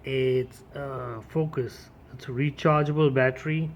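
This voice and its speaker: A man's voice: mid-range voice, fairly even intonation, a little slow, dull audio, slight background noise. A man giving a casual, informal unboxing and demo as a gear reviewer, addressing his viewers directly.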